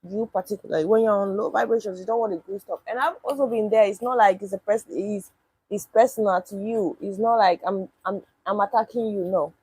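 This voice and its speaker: Husky woman chatting on stream. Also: mid-range voice, fairly even intonation, fairly quick, warm tone, no echo, rough recording. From Nigeria. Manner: talks back to chat comments, blunt and swearing. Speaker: live streamer. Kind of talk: casual live stream chat.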